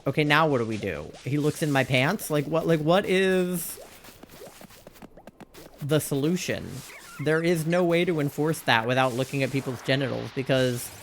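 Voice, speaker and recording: A man's voice: on the high side, normal speed, slight background noise. A young adult man streaming live, chatting candidly in a rambling way, full of "like" fillers and exasperated rhetorical questions. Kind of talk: casual chat while live-streaming a game.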